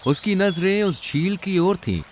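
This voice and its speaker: A male narrator tells a children's story in a very animated, slightly high voice. It is scripted storytelling, with faint noise behind it. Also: a little slow.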